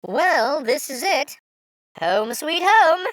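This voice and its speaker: A man's voice: very high voice, sing-song, slow, a bit bright, dry recording, voice pushed up, full character voice. A man voicing a refined, proud city mouse in a posh, affected way for a children's storybook.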